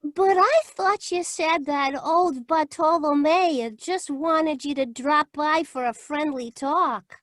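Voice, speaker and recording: A woman's voice: high-pitched, takes it slowly, fairly bright tone, dry recording, studio quality. A woman playing a perky, devoted detective's secretary in 1940s radio style, exclamatory and puzzled.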